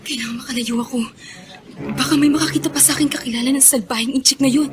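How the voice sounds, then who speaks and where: A woman's voice: high-pitched, fairly even intonation, racing, loud music behind the voice, natural voice. A woman playing a timid, polite young runaway worker in a radio drama, hesitant and pleading in her delivery. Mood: anxious, hushed. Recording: clearly noisy radio drama track with ambience.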